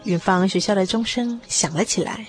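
Expressive woman narrator over music. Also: medium pitch. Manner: expressive, measured literary delivery. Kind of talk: scripted radio drama storytelling. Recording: music behind the voice.

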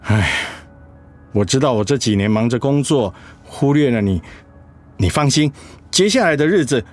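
An actor playing a devoted, worried husband in a radio drama, earnest, affectionate and soothing. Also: male voice, medium pitch, animated, moderate pace, soft background music, natural voice. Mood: earnest, apologetic. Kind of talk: Mandarin radio drama acting.

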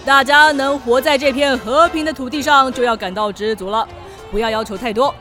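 A performer playing an excitable, showy contest emcee in a children's radio drama, announcing in an exclamatory, theatrical way. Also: high voice, a little fast, over music, crowd noise, close to an everyday voice. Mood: showy, upbeat.

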